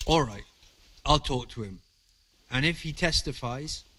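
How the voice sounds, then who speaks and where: A man's voice: medium pitch, expressive, measured pace, pushed and bright. An actor playing a grave, decisive man of authority in a medieval video game. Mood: grave, alarmed. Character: concerned male authority, grave, decisive, questioning. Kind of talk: video game drama acting.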